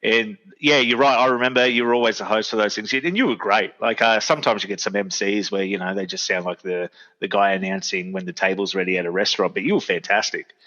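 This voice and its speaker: Bright-toned man on a lo-fi mic. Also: fairly low pitch, steady tone, fast talker, few pauses. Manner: agreeable, chatty, laughs often. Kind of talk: casual podcast chat. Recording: dull lo-fi mic.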